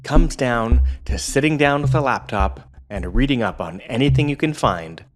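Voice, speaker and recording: A man playing a measured, informative narrator explaining police work in a scripted audio drama. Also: medium pitch, animated, slight background noise, lightly acted. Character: matter-of-fact male law enforcement explainer.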